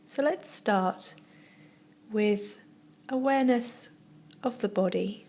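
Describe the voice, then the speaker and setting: Very slow, soft-spoken woman guiding relaxation. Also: mid-range voice. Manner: soft, soothing, with long pauses between short phrases. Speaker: yoga teacher guiding relaxation. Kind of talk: guided meditation, yoga nidra narration.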